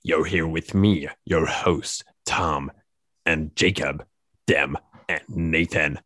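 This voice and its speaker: A man hosting a student radio show, giving brisk station announcements. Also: a bass voice, steady tone, fairly bright tone, dry recording, clean studio sound. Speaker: radio host.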